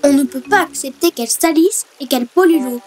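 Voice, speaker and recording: A woman's voice: high voice, fast, a little mellow, lightly acted. A woman voicing a small, playful, giggly fairy girl with a childlike voice in a children's cartoon. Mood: scheming. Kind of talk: dubbed children's cartoon acting. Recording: children's cartoon music behind.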